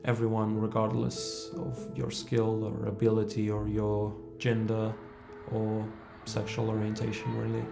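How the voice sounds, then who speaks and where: A man's voice: lowish voice, completely flat delivery, measured pace, vocal fry, hardly any pauses. A man in his thirties with a Portuguese accent, a native Portuguese speaker, speaking hesitantly with restarts in a documentary interview. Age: 30s. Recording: roomy interview with music bed.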